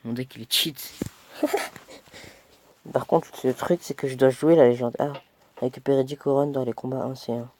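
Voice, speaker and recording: A man's voice: medium pitch, fairly even intonation, a little slow, pauses often, a little mellow, slight background noise. A young man with a cold, casually commentating his own mobile game match, chatty and self-mocking, muttering as he plays. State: stuffy with a cold.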